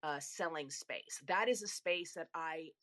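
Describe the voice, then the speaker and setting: A woman from Italy co-hosting a live audio show and introducing a guest in a gushing, excited way with many fillers. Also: low voice, a little slow. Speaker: show co-host. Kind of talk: casual chat.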